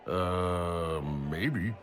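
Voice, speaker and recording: An actor playing a big, easygoing mercenary chief in a fantasy video game, laid-back and jovial. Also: male voice, low voice, extremely slow, fairly bright tone, faint music behind, full character voice. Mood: knowing, relaxed. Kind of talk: video game cutscene acting.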